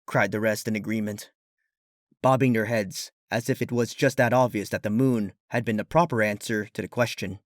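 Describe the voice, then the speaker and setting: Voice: medium pitch, steady tone, normal speed, dry recording, clean studio sound. A storyteller reading a scripted fictional narration.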